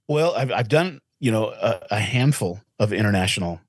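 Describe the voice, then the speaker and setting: A man's voice: on the low side, lively intonation, normal speed, husky, no echo, clean studio sound. A man in his forties, an interventionist guest, talking earnestly and reflectively in an interview, often restarting his sentences. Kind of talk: casual interview talk.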